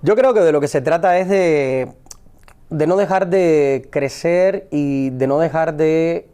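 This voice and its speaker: A middle-aged Cuban man with a Cuban accent, answering interview questions in a measured, reflective way with frequent pauses and restarts. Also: fairly high pitch, mellow, smooth. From Cuba. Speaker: actor and poet guest. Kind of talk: interview conversation.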